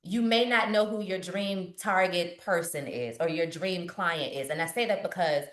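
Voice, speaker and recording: A woman from Richmond, Virginia, a business coach answering questions in a lively, preachy, motivational way. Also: lowish voice, moderate pace, roomy sound. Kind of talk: live webinar Q&A.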